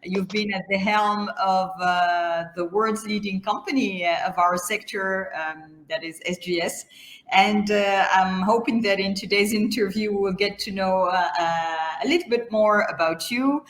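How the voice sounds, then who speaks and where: The voice is a woman's, at a middling pitch, in a roomy, echoing recording. She speaks as the host of a semi-formal interview. Her delivery is halting, with many hesitant fillers between phrases.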